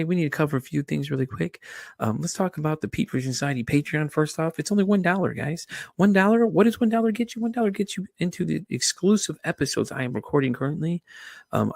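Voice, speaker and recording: A man's voice: a little high, vocal fry, dry recording, clean studio sound. A man hosting his podcast in casual chat, joking and chatty with his listeners. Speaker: podcast host.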